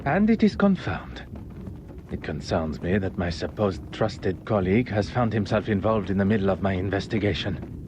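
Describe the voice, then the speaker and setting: An actor playing a shrewd, courteous, self-assured detective with a Belgian French accent, speaking formally and precisely in a game. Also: male voice, sits low, animated, moderate pace, noisy background. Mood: measured, probing. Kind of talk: scripted game dialogue and narration.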